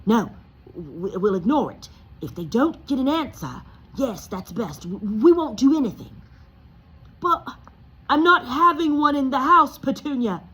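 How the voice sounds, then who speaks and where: A woman's voice: sits high, animated, measured pace, fairly bright tone, breathy edge, voice pushed up, full character voice, poor audio. A woman voicing a blustering, hot-tempered middle-aged uncle who stammers and barks in a storybook reading. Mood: wild, panicky. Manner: stammering, barking orders.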